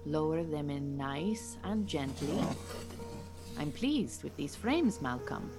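A woman playing a firm, measured beekeeping teacher in an audio drama, giving instructions. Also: low-pitched, lively intonation, music in the background, heavily acted. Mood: quietly pleased.

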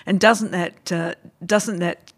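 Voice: medium pitch, steady tone, takes it slowly, slightly rough. A podcast host speaking in a hesitant, encouraging way with fillers and restarts. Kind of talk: podcast interview.

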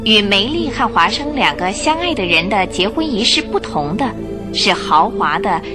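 Expressive woman narrating over music. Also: mid-range voice. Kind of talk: scripted radio drama storytelling narration.

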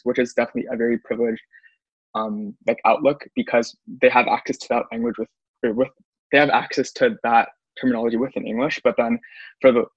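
A man speaking quickly and hesitantly in a casual discussion, full of fillers and restarts. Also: mid-range voice, no room sound. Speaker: panel speaker. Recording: dull, dry recording.

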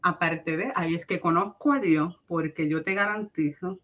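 A woman's voice: fairly low pitch, normal speed, a bit bright. Puerto Rican woman, dull lo-fi recording. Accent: Puerto Rican Spanish. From Puerto Rico. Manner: earnest, emphatic repetition, addresses listeners directly. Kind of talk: personal religious testimony, conversational preaching.

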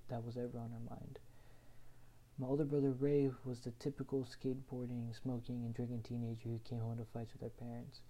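A young man from Houston, Texas, reading his own written personal story aloud in an earnest, measured way. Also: mid-range voice, vocal fry, warm tone, low-quality recording. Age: young adult.

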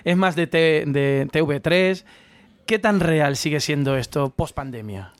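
A man's voice: fairly high pitch, measured pace, smooth, no room sound. A man hosting an interview, asking casual questions and prompting his guest along. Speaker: host.

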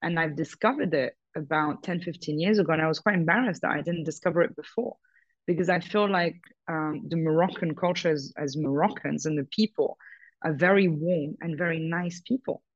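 A woman's voice: sits low, brisk, dry recording, highs cut off. A businesswoman talking frankly as a guest in an interview, often stressing her points with "totally" and "hundred percent". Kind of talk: casual interview conversation.

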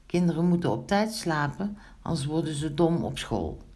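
A woman's voice: deep voice, expressive, normal speed, mellow. A woman playing a strict, no-nonsense mother in a children's story, firm and scolding.

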